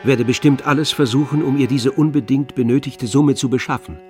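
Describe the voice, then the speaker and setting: A man's voice: mid-range voice, fairly quick, warm tone, soft background music. A man narrating a literary radio drama in a scripted storytelling style.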